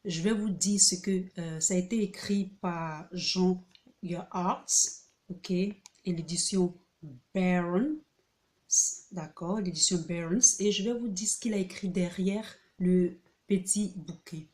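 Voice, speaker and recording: A woman talks through a casual video tutorial at an unhurried, drawn-out pace, her voice sitting a little low. She hesitates often, fills gaps with filler sounds and restarts her sentences. She keeps checking in with her listeners as she goes. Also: darkish tone. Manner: repeats key points, checks in with "ok?". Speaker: video host presenting a pronunciation book. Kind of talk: casual spoken tutorial to camera.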